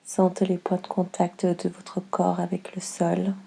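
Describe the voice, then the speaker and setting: Gravelly, hushed woman guiding relaxation. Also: fairly low pitch. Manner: slow, calm, soothing instruction with long pauses. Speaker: yoga nidra guide. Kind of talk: guided relaxation meditation.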